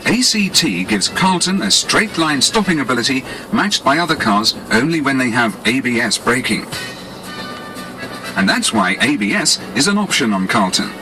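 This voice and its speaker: British guy doing an 80s promo tape voiceover, music behind, really varied pitch, polished salesy explainer. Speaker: advertising narrator. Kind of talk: scripted 1980s promotional narration.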